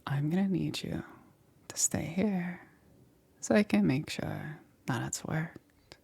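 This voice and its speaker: A woman playing a soft-spoken, coaxing, quietly menacing witch in an ASMR roleplay, often dropping into a breathy, hesitant whisper. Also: deep voice, heavily acted. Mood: soothing. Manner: slow, hesitant, softly cooing.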